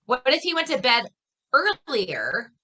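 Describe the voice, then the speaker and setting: Woman, bright forceful tone, casual podcast chat, rambling explanations. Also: high-pitched, huge pitch swings, measured pace, breathy edge. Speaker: podcast host.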